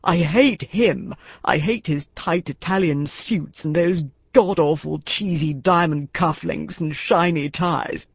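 A woman reader voicing a despairing older male company chairman who wails his bitter complaints slowly. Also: mid-range voice, very animated, vocal fry, husky, dry recording, muffled recording, exaggerated voice. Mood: anguished. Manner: wailing, bitter, slow.

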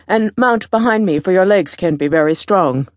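A woman's voice: on the low side, animated, normal speed, dry recording, studio quality, lightly acted. A woman voicing a kind, generous young gardener's son in a storybook, courteous and plain-spoken. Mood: kindly.

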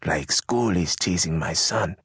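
A man voicing a fierce, toothless mother in a slum shack, scolding in a high, sharp voice. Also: unhurried, slightly rough, no echo, clean studio sound, full character voice. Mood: hushed.

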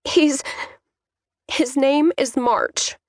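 A woman acts out a shaken young daughter in an audiobook. Her voice is lifted high and strained, and she speaks haltingly as she pleads for calm, recorded close and dry. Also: dry recording, exaggerated voice. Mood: shaky, reassuring.

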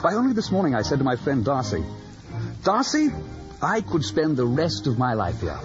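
A gushing courtly young gent, swoopy low voice, old radio play, music behind. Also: male voice, wildly swooping pitch, moderate pace, lo-fi sound. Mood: courtly, cheerful. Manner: courtly, effusive, hesitant. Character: amiable, smitten, gushing young gentleman at a ball. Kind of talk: period radio drama acting.

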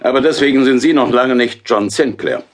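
An actor playing a stern, curt police superintendent in a German radio play, with a raspy, authoritative voice. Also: male voice, medium pitch, a little fast, close to an everyday voice. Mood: stern, skeptical. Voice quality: gravelly, raspy.

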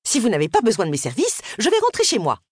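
A woman voicing a shrill, sharp-tongued middle-aged village woman with a high, strident voice. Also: wildly swooping pitch, fast talker, husky, no room sound, studio quality. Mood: huffy. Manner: cutting, snappish.

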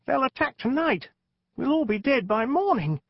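Squeaky fretful little frog jinni. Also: male voice, sing-song, moderate pace, gravelly, no room sound, muffled recording, heavily acted. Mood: anxious. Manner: fretful, whiny, common-speech. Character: timid small male frog-shaped jinni sentry.

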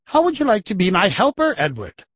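A man playing a gentle, eager, bumbling novice magician, earnest and friendly, in a children's audiobook. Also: high-pitched, wildly swooping pitch, a little fast, no echo, exaggerated voice. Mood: gentle, hopeful. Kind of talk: children's full-cast audiobook acting. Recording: dry, slightly dull audio.

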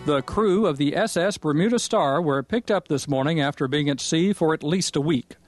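A man plays a radio newsreader in an audio drama. He reads formally in a voice pitched a little above average. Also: normal speed, over loud music, natural voice. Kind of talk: acted news report.

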